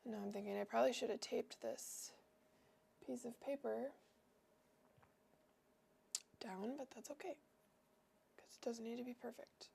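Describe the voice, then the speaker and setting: A woman's voice: a little high, measured pace, gravelly, pauses often, dark tone, slight background noise. A young woman with a cold and a nasal voice, hosting a livestream and chatting casually as she paints, wandering and thinking aloud with lots of fillers. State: sick and nasal.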